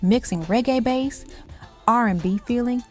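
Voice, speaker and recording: A woman's voice: a little high, a little slow. A woman reading scripted music countdown narration, telling it like an evocative story in short dramatic phrases.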